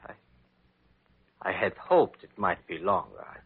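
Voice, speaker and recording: An actor playing a gentle, dignified, dying former king in an old-time radio drama, speaking haltingly in a husky voice. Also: deep voice, sing-song, full character voice. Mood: resigned sorrow. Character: dying exiled former king, gracious and resigned. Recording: dull lo-fi vintage radio recording.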